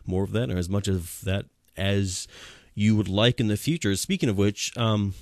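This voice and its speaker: A man's voice: on the low side, moderate pace, a little mellow, dry recording, clean studio sound. A man hosting an online talk show over a video call, joking and laughing a lot while he asks rambling questions. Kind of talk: casual interview chat.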